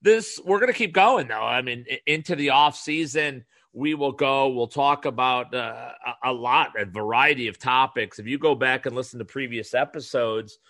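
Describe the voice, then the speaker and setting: A man hosts his podcast alone, talking in a nasal, bright, forceful voice. He rambles loosely, restarts his sentences and slips in sales pitches. The sound is dry and close. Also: normal speed, few pauses, no room sound. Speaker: podcast host. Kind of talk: solo podcast monologue.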